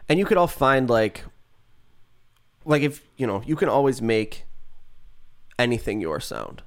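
A young man, a songwriter guest, talking in an easygoing, agreeable way. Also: a little slow. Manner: easygoing, agreeable, lively pitch. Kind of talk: casual chat.

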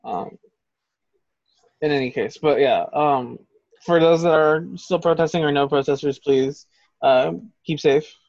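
A man's voice: a little high, unhurried, pauses often, a little mellow, no echo, highs cut off. A man co-hosting a podcast in casual chat, talking in a heated, profane, rambling way. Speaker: podcast co-host.

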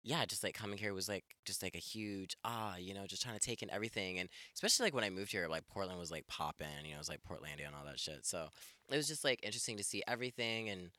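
Deep-voiced man in his twenties, relaxed. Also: fast talker, no room sound. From Ethiopia. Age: 20s. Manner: relaxed and reflective, with many fillers. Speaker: guest. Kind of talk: casual conversation. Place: outdoors in a backyard.

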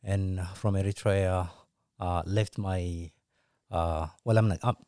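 Podcast guest: man from Ethiopia, 40s, very low voice, dry close sound, halting with fillers. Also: steady tone, a little mellow, no echo. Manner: hesitant, many fillers, laughs often. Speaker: podcast guest, church planter. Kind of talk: casual interview chat.

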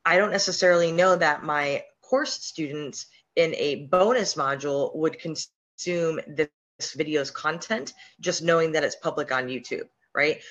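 A hoarse woman with a slight sore throat talks casually through a live-stream screen share, with frequent ums and restarts as she explains her steps. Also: deep voice, a bit bright, lo-fi sound. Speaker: podcast host and online business coach.

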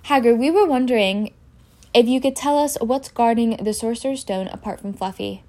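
Woman as earnest, high-voiced schoolboy. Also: normal speed, warm tone, lightly acted. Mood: curious. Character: earnest, inquisitive young schoolboy.

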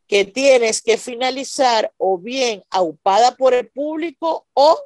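A woman's voice: on the high side, slow pace, darkish tone, no echo, studio quality. A woman with a Venezuelan accent from Maracaibo, talking as a podcast guest in a conversational, explanatory way and often checking that she is understood. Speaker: podcast guest, stand-up comedian. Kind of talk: conversational interview storytelling.

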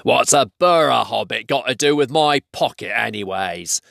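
Man voicing a troll, male, gruff, slangy, dim and beery, soft-hearted underneath, storybook character, close dry recording. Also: mid-range voice, expressive, normal speed, no room sound. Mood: startled, suspicious. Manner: rough, slangy, blunt.

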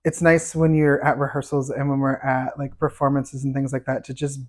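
A man's voice: flat delivery, a little fast. Man, light tenor voice, chatty podcast guest, rambling storyteller. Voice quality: fairly high light voice. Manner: chatty, storytelling with fillers and laughs. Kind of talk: casual interview chat.

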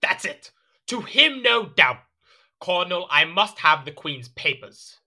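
A man's voice: high-pitched, big pitch swings, a little slow, bright, forceful tone, exaggerated voice. An actor playing a petulant, jealous French king in a period audio drama, speaking in an imperious, indignant, theatrical manner. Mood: suspicious.

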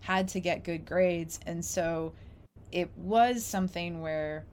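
A woman in casual, reflective conversation, telling stories in an animated way and acting out imagined quotes. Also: fairly low pitch, slow pace, a little hiss or hum.

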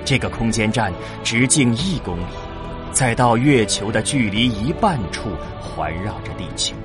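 A man narrating a science-fiction audio drama in a measured, scripted storytelling delivery. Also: mid-range voice, lively intonation. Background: music.

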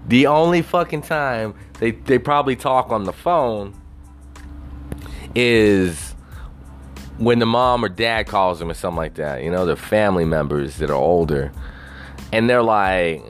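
A man's voice: fairly low pitch, lively intonation, measured pace, faint music behind. A man on a casual, rambling rant, swearing constantly and leaning on "you know" fillers.

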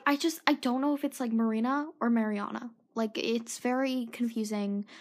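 A teenage girl hosting her own podcast, rambling and self-mocking, with a sore throat and a voice that drops into whispered asides. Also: a little high. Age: teens. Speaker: podcast host. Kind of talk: rambling solo podcast chat.

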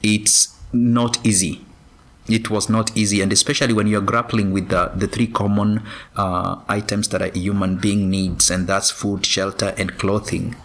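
Man from Kenya, low voice, measured, pausing, interview guest. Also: little pitch movement, a bit bright. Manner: measured, many pauses and ums. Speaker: guest, former professional runner. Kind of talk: casual interview answers.

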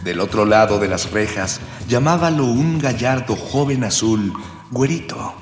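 A man plays an old-fashioned, mock-solemn serial narrator. His mid-pitched voice is pompous and formal, echoing heavily over music. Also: expressive, normal speed, lightly acted.

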